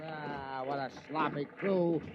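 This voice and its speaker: An actor in a 1950s radio drama playing a fussy, contemptuous military drill leader with a barking delivery. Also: male voice, fairly high pitch, steady tone, takes it slowly, noisy background. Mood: disgusted. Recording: dull vintage radio recording.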